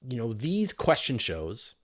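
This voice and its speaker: A man's voice: mid-range voice, huge pitch swings, a little slow. A man hosting a live question-and-answer stream, talking casually and thinking aloud as he enthusiastically explains things. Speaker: host of a space Q&A livestream.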